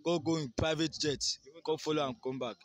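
Casting: young Nigerian male, fairly high voice, rambling and boastful street interviewee. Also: fairly even intonation, a little fast. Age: young adult. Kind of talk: casual street interview in Pidgin. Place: outdoors by a garden wall. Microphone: phone held near the mouth by interviewer.

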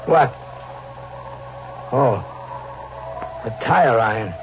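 A hard-boiled drifter on the run in a 1940s radio thriller. He is wary and terse, a cornered tough guy. The dull vintage recording has music clearly behind it. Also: medium pitch, animated, very slow. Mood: uneasy. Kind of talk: 1940s radio acting, first-person noir narration. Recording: muffled old radio broadcast, music behind.

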